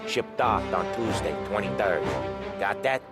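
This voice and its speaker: An actor playing a cool, commanding mobster in a video game, giving curt, matter-of-fact instructions. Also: male voice, on the low side, measured pace. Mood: businesslike. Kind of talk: cinematic video game acting. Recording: loud music behind.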